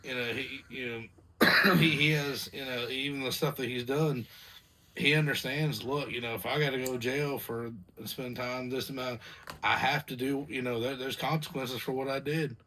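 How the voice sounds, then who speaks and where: A man in his twenties co-hosting a casual vlog chat, chiming in with quick agreements and loose, repetitive phrasing. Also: mid-range voice, fairly even intonation, bright, forceful tone, a little hiss or hum, rough recording. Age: 20s. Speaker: vlog co-host.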